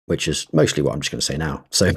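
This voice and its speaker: A man's voice: a bass voice, fast talker, slightly rough. A man co-hosting a casual podcast, explaining ideas at length while thinking aloud, with frequent restarts and small laughs. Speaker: podcast co-host.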